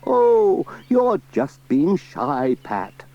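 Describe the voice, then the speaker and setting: Man playing a high-pitched, gushing old country vicar. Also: sing-song, very slow, heavily acted. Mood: gushing, encouraging. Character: elderly village vicar, kindly, gushing and pious. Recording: muffled, hissy cassette transfer.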